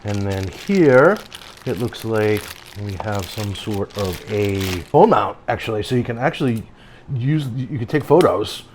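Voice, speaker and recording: Low-voiced man rambling through an unboxing. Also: a little slow, slight background noise. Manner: rambling, self-interrupting, easily excited. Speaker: product reviewer. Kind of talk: casual unboxing review. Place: home, later outdoors on a deck.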